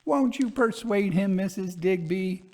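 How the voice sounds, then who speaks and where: A man's voice: sits high, measured pace, dark tone, pitched above the performer's own voice. A man voicing an eager, wheedling New York society woman in a told ghost story. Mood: pleading. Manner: wheedling, exclamatory.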